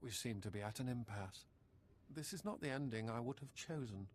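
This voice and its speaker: A man playing a calm, formal Imperial agent. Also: medium pitch, slight background noise, close to an everyday voice. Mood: composed. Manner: measured, formal, polite.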